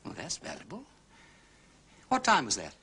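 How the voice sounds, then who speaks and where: An actor playing a genial, shrewd, aristocratic English gentleman sleuth with an upper-class accent, urbane and probing, in a period detective drama. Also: a little high, wildly swooping pitch, normal speed, breathy edge, heavily acted. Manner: affable, urbane, probing with questions.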